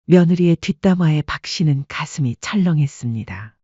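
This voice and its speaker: 허스키하고 어두운 음색의 여성 내레이터. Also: female voice, sits low, expressive, moderate pace, mellow, slightly rough, dry recording, muffled recording. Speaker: narrator. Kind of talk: scripted story narration.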